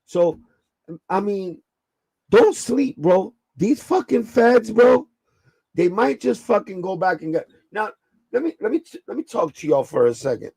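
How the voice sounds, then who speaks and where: Raspy New guy streaming. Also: sits high, very animated, measured pace, darkish tone, dry recording. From New York. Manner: streetwise, profane, explaining step by step. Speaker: livestream host. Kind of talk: casual livestream chat.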